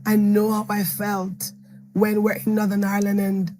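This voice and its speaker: Hoarse Jamaican woman author with a flat, even delivery and rambling answers, faint hiss behind. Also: medium pitch, normal speed. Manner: rambling, self-correcting storytelling. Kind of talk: interview answer at a book event.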